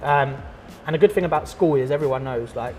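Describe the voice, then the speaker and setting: A man, an artist and teacher chatting casually in an interview, relaxed and full of fillers and restarts. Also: medium pitch, brisk, slight background noise. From London.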